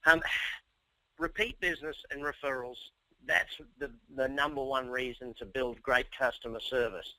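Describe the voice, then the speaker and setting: Bright-voiced Australian man coaching by webinar. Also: mid-range voice, normal speed, no echo, rough recording. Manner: energetic, persuasive, talks straight to listeners. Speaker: business coach. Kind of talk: webinar presentation. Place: office or home.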